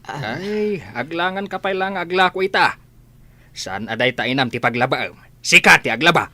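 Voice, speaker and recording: A man's voice: fairly high pitch, expressive, normal speed, bright, forceful tone, dry recording, heavily acted. An actor playing a bossy, grumbling village father in a radio comedy drama. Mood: gruff, scolding.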